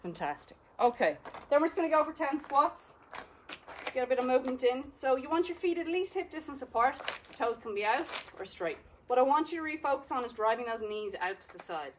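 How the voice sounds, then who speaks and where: A woman's voice: high voice, expressive, brisk, a little hiss or hum. A woman with an Irish accent teaching a live exercise class, cueing each movement and counting reps with encouragement. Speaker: fitness instructor.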